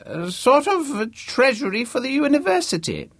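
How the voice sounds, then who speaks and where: A storybook old man of ninety, crotchety and commanding. The voice is loud, pushed high and rough with huskiness, as he orders younger people about. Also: big pitch swings, moderate pace, no room sound, exaggerated voice. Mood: loud, gruff. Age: older.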